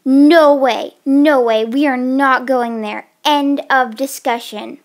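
Young woman as a high-pitched, dramatic girl. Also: slow pace. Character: young girls planning a sleepover, casual and dramatic. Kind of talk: amateur doll stop-motion voice acting.

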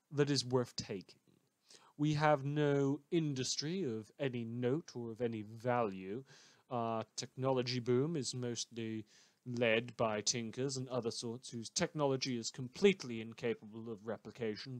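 A man playing an unhinged, sardonic radio host who delivers halting, wordy monologues in a theatrical manner. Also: mid-range voice, no room sound, close to an everyday voice. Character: rambling, unhinged male radio host in a superhero world. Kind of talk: satirical radio news monologue.

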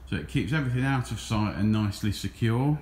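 A man's voice: on the low side, fast. A man who sells trailers, walking through a warehouse and filming with his phone as he talks casually, with frequent ums. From England. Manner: chatty, relaxed, with frequent ums. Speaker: trailer dealer. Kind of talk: walkaround product video. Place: large trailer warehouse, indoors. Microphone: handheld phone camera, filming while walking. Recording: echoey warehouse with faint hum.

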